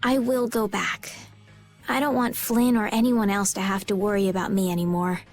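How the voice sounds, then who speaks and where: An actress playing a gentle, polite and earnest young princess in a video game, speaking formally and sincerely. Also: female voice, medium pitch, fairly even intonation, moderate pace, soft background music, natural voice. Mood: hesitant, resolved.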